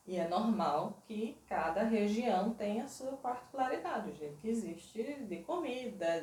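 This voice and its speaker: A woman from Northeast Brazil with a Northeastern Brazilian accent, answering interview questions in a thoughtful, measured way and stressing some points firmly. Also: a little high, darkish tone, echoey room, far from the mic. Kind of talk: interview conversation. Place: Portugal.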